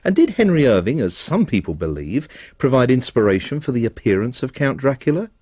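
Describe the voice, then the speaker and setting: A man presenting a radio arts documentary with measured, articulate, scripted narration. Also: medium pitch, very animated, darkish tone, breathy edge, no echo, studio quality.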